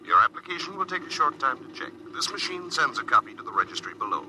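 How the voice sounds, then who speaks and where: A man's voice: a little high, very animated, fast. A man playing a polite, officious robot clerk with formal, clipped phrasing in a 1950s science fiction radio drama. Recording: noisy old 1950s radio recording.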